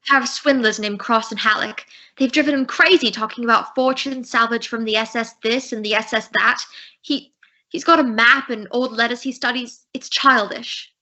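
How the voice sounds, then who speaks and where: A woman's voice: on the high side, fairly even intonation, moderate pace, sharp tone. A teenage girl playing a distressed young woman client in a student radio drama, with an earnest, urgent manner. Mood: pleading. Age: teens. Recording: dull lo-fi recording.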